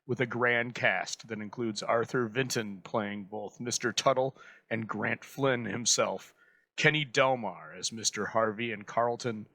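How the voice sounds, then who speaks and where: A man hosting with formal, measured, scripted narration. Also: medium pitch, pushed and bright, no room sound. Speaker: host introducing radio episodes.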